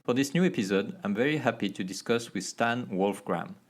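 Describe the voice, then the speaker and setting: Low-voiced man hosting an interview podcast. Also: a little fast. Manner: careful, reads intro evenly, questions with hesitant fillers. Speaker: podcast host. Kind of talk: scripted intro then interview questions.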